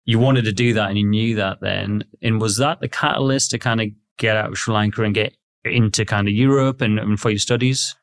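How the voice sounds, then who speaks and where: A man's voice: fairly low pitch, fairly even intonation, brisk. A man hosting a podcast interview in a friendly, rambling way with lots of fillers. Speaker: podcast host. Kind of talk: casual interview chat.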